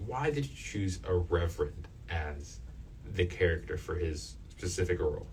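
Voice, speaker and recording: A young man hosting a casual radio interview, halting and informal as he feels his way toward his questions. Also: sits low, lively intonation, a little mellow. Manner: halting, informal, groping for phrasing. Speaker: student radio interviewer and host. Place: student radio studio. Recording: off-mic, distant sound with background noise.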